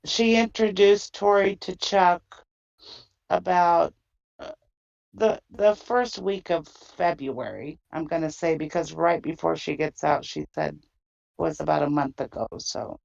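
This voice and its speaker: A woman's voice: fairly low pitch, measured pace, creaky, a little mellow. A woman chatting casually as a panelist in a discussion, heard over a lo-fi call. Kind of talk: casual chat. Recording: dull lo-fi online call.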